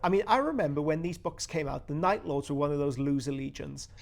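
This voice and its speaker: A man's voice: a little high, animated, a little fast, vocal fry. A Welsh man hosting a casual hobby chat, enthusiastic and rambling, often restarting his sentences. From Wales. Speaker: host.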